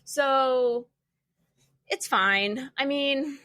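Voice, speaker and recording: High-voiced, wry young woman chatting casually. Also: drawn-out words, sharp tone, no room sound, studio quality. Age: young adult. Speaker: podcast panelist. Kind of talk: casual chat.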